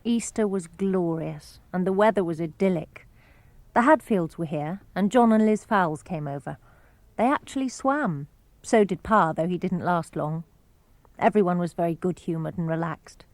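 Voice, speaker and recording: A woman narrating and reading diary entries aloud for a radio broadcast, in a clear, composed manner. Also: lowish voice, moderate pace, a little mellow, no echo. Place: radio studio.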